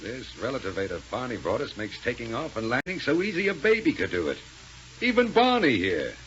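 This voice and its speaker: An actor playing a smug, menacing space villain in a 1930s radio serial, mock-soothing and taunting. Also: male voice, medium pitch, sing-song, brisk, a bit bright. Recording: muffled, hissy 1930s radio recording.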